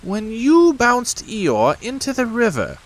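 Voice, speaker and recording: A man voicing a fussy, self-important male rabbit who speaks in a brisk, bossy way. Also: very high-pitched, wildly swooping pitch, slight background noise, voice pushed up, exaggerated voice. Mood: officious.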